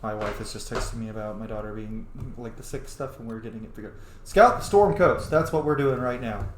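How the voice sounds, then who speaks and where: A man's voice: on the low side, brisk. A young man giving casual, rambling playthrough commentary on a video game, with joking asides. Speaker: streamer playing a video game. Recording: roomy echo, faint background noise.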